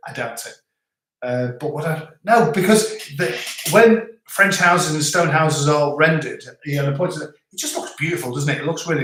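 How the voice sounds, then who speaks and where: A man with a softened Scouse accent hosting a casual live stream, chatting and reading out viewer comments with frequent restarts. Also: mid-range voice. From Liverpool, England. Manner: chatty, rambling, stops and restarts. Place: home in the French countryside. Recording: roomy echo.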